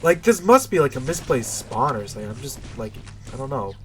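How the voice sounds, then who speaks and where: A man's voice: medium pitch, very animated, brisk, music in the background. A man with a stuffy, nasal voice giving casual, rambling, unscripted commentary while playing a video game. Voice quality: nasal, congested. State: stuffy nose.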